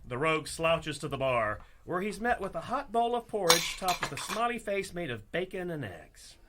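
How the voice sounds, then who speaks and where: A man's voice: fairly high pitch, very animated, moderate pace, heavy background noise. A man hosting as dungeon master, narrating a scripted fantasy comedy in a theatrical storytelling style.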